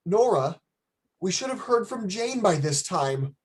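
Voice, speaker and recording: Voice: mid-range voice, big pitch swings, unhurried, faint music behind. A performer playing an anxious, sappy, lovestruck bridegroom in a detective radio play. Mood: worried.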